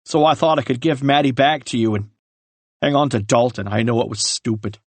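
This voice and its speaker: A man voicing a remorseful older brother who earnestly explains and pleads his case in a lowered voice. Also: fairly quick, dry recording, lightly acted. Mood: pleading. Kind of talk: audiobook character reading.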